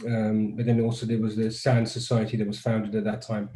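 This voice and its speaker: Even-toned man from Croatia, echoey call. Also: fairly low pitch, fairly quick. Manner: thoughtful, restarts often. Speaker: guest neuroscientist. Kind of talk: conversational interview answers.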